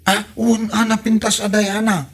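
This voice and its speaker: A man playing a simple-minded, lovesick grown son with an Ilocano accent in a comedy drama, halting and naive as he speaks. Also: sits high, little pitch movement. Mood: bashful, smitten.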